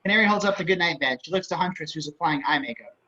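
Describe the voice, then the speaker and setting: A man's voice: on the high side, quick pace, pushed and bright. A man narrating stage directions for a script table reading over a dull-sounding video call.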